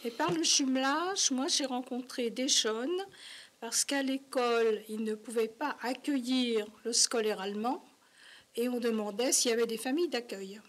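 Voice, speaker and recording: An older woman with a slightly high-pitched voice talks as a guest on a talk show. She recounts personal memories in a plain-spoken, conversational way, in short phrases. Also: normal speed.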